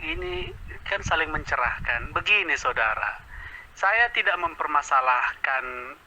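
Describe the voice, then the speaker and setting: Bright, forceful man debating. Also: a little high, huge pitch swings, measured pace, a little hiss or hum, rough recording. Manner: self-assured, didactic, checks in with listeners. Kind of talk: informal religious debate.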